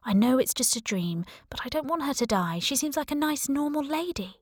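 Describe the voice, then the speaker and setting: A woman voicing a pleading, soft-hearted inner voice, reluctant to kill, in an audiobook. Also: sits high, expressive, brisk, no echo, clean studio sound, voice pushed up. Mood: anxious pleading. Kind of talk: audiobook character dialogue.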